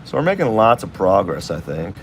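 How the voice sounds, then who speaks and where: A man's voice: low voice, sing-song, brisk, slight background noise. A man streaming live as he works on a drawing, thinking aloud in a rambling, casual way with many restarts. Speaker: artist streaming while drawing.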